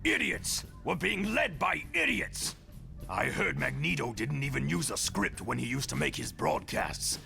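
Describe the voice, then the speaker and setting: A man plays a cynical animal-mutant rebel with a very gravelly, medium-pitched voice. He grumbles scornfully like a comic-book malcontent, over prominent music. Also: very animated, measured pace, sharp tone, exaggerated voice. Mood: contemptuous. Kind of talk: motion comic voice acting.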